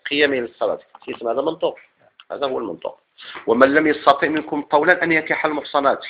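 A man's voice: mid-range voice, phone-quality sound. A man with a Moroccan Arabic accent teaching a class on legal theory, quizzing students with repeated questions and then answering them himself. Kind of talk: classroom lecture.

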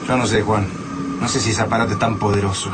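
A man's voice: fairly low pitch, little pitch movement, quick pace, loud music behind the voice, lightly acted. An actor playing an anxious man on the run in a radio drama, uneasy and urgent, with an Argentine accent. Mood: anxious, brooding. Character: Paco, anxious man fleeing through a deserted city.

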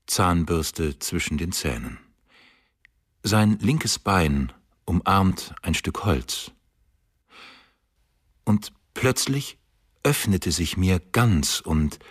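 A man giving a slow, solemn reading of war poetry for a radio feature, with a husky voice. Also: low-pitched. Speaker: reader of translated war poetry.

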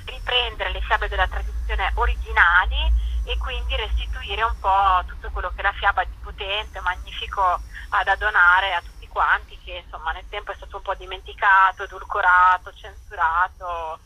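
A woman speaking as a festival director in a conversational interview, explaining things with halting, hesitant pauses. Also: medium pitch, fairly even intonation, sharp tone, slightly rough, rough recording.

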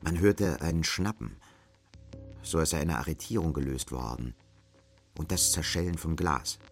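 Deep-voiced male literary narrator. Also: measured pace, music in the background. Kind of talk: scripted literary narration for radio drama.

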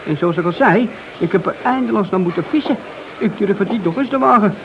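An actor playing a wheedling, hesitant petty crook and police informer in a radio play. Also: male voice, high voice, lively intonation, fairly quick. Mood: evasive, wheedling. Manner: hesitant, evasive, servile to his handler. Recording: dull, noisy old radio drama recording.

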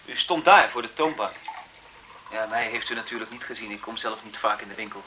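An actor playing a shy, smitten young photographer in a 1970s radio drama, courteous, hesitant and deferential. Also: male voice, lowish voice, fairly quick, pushed and bright. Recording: faintly noisy 1970s radio recording.

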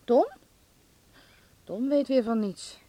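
A woman's voice: fairly high pitch, slow, dark tone. A woman acting a bitter, domineering, scornful middle-aged mother in a Dutch radio drama, indignant and reproachful.

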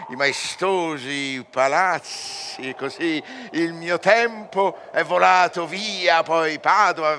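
An eager, pleasure-seeking learned man declaims in a radio verse play. His voice sits a bit high and lingers over words, with clear noise behind it. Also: sharp tone, exaggerated voice. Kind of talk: theatrical verse drama on radio.

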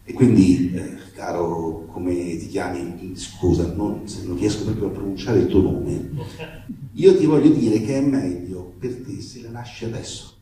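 Far from the microphone in a very reverberant room, a man performs a protective father with a very dark, mellow voice. He delivers a comic stage monologue to his daughter's boyfriend, halting and emphatic, tender one moment and sardonic the next. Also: deep voice. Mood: wistful, pleading. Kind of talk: theatrical comic reading of a letter monologue. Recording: very echoey room, distant microphone.

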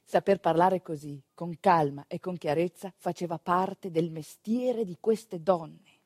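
A woman narrating a stage monologue as an actress, in an expressive, conversational and earthy storytelling manner. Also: lowish voice, unhurried, breathy edge, no echo, clean studio sound.

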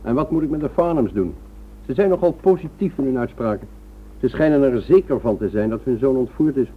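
An actor in a Dutch radio drama playing an efficient, obedient police officer. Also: male voice, mid-range voice, expressive, fairly quick, breathy edge. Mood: businesslike. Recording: noisy, tinny old radio recording with hum.

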